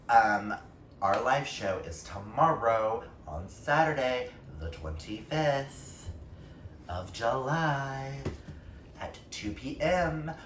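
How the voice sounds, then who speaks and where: A man chatting casually about books as a vlogger, gushing and emphatic with dramatic pauses. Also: medium pitch, a bit bright. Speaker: book reviewer vlogger, reading challenge co-host. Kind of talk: casual book vlog chat. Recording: roomy, distant mic, faint noise.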